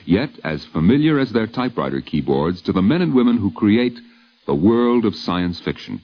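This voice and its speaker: Very deep, animated American radio narrator. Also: male voice. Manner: literary, measured, dramatic delivery. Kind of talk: 1950s radio documentary narration. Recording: dull vintage 1950s radio recording.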